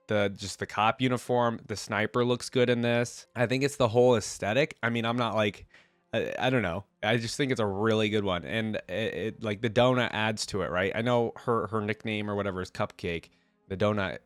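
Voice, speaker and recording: A man's voice: lowish voice, no room sound. A man with a congested, head-cold voice giving casual reaction commentary as a video host, thinking aloud in informal slang.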